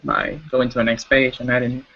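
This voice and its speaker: A man's voice: medium pitch, big pitch swings, brisk, creaky, pushed and bright, muffled recording. A man suggesting improvements as a participant in a casual work meeting.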